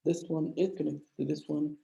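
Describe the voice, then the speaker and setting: A man's voice: fairly high pitch, little pitch movement, a little fast, creaky, warm tone. A man with a mild flu teaching a live online coding lecture, explaining step by step with many filler sounds. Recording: dull lo-fi echoey room.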